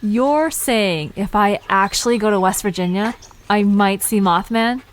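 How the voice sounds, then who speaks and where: A woman playing a curious, friendly young park visitor in an audio drama, inquisitive and expressive in a conversational way. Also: mid-range voice, a little slow, natural voice.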